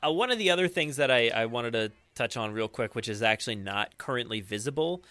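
A man chatting casually as a podcast co-host, enthusiastic and often halting as he searches for words. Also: medium pitch, lively intonation, bright, forceful tone, dry recording.

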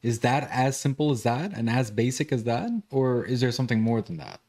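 A man's voice: medium pitch, fairly even intonation, brisk, a little mellow. A male interviewer leads the conversation with loose, wandering questions. He pads his sentences with fillers and restarts, then lands on the point.

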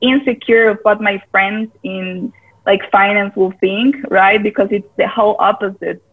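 A woman talks over a video call, her voice thin and narrowed like a phone line. Her pitch sits in a middle range. She rambles in a friendly way, scattering "like" through her sentences. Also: steady tone, normal speed, breathy edge. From Costa Rica. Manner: chatty, many "like" fillers, loose grammar. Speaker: guest, part-time yoga teacher. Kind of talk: casual interview chat.

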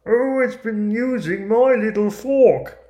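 Man playing a high-voiced, fussy little fairy tale dwarf. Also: slow, dark tone, muffled recording. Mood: indignant, puzzled. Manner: exclamatory, emphatic storybook character delivery. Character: small male dwarves of the mines, fussy, astonished, kindly. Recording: roomy echoing recording.

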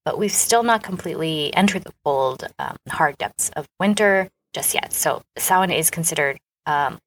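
A woman's voice: low-pitched, lively intonation, moderate pace, low-quality recording. A woman co-hosting a podcast, explaining things in an enthusiastic, informal, conversational way. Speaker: podcast co-host and author.

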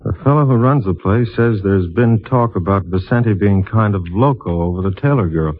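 An actor playing a plain-spoken, breezy American investigator with a blunt, casual manner, in a 1950s radio drama. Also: male voice, fairly low pitch, lively intonation, normal speed. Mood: matter-of-fact, probing. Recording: muffled, narrow-band vintage radio recording.

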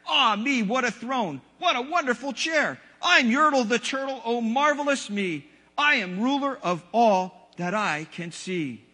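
A man's voice: very high voice, expressive, a little slow, pushed and bright, heavily acted. Man putting on a bellowing, pompous turtle king. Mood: gloating. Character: boastful, domineering turtle king, loud and greedy. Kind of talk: children's storybook read aloud. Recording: long hall reverb.

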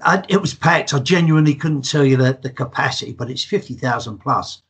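Older English man, husky voice, chatty storyteller, relaxed, retired podcast guest. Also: medium pitch, a little fast. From England. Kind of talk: casual interview chat.

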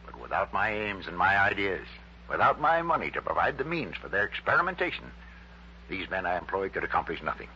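A pompous, grandiose male project chief in an old radio drama. His very deep voice swings widely as he speaks in formal, emphatic phrases. The recording is dull and faintly noisy. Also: very animated, a little fast, pushed and bright, exaggerated voice. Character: proud, grandiose male head of a rocket-fuel project. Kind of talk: 1960s radio drama acting. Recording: dull, humming early-1960s radio recording.

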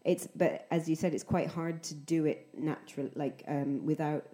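A woman from Scotland with a mild Scottish accent, hosting an interview, speaking clearly and encouragingly. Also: sits low, steady tone, moderate pace, dark tone. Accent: mild Scottish, softened by years in England. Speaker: podcast host and English teacher. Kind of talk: casual interview chat.

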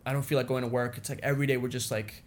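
A man's voice: mid-range voice, level tone, racing. A young man whose first language is Indonesian, a business owner speaking as a guest in a casual interview, rambling with lots of "you know" and "like". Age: young adult.

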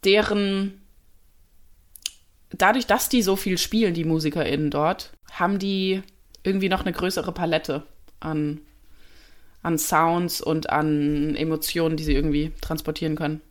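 A young woman from Germany, a musician answering interview questions in a casual, thoughtful way, pausing often with fillers and restarts. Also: low voice, fairly even intonation.

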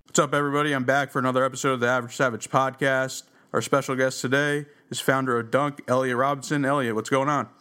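A man's voice: medium pitch, flat delivery, fast, bright, forceful tone, clear, resonant tone, faint music behind. A man hosting a podcast and interviewing a guest in a casual, chatty way.

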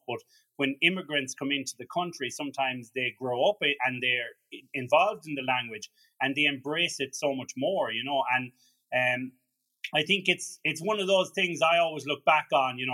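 A man's voice: mid-range voice, pushed and bright, low-quality recording. An Irish man hosting a podcast, talking earnestly in a casual chat with stammering restarts. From Ireland. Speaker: podcast host.

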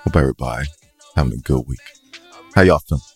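A man's voice: rumbling low, fast, a little mellow, breathy edge, faint music behind. A man co-hosting a podcast in casual, slangy, laid-back, joking talk. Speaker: podcast co-host. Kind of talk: casual chat.

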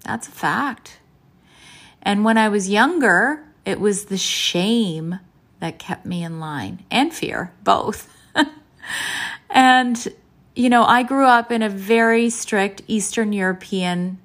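A woman in her fifties speaks to camera as a nutrition and lifestyle vlogger. Her delivery is slow and unhurried, and her pitch sits at an ordinary middle height. She sounds open and reflective, taking her time over each thought. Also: a bit bright. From Canada. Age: 50s. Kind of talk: candid casual talk to camera.

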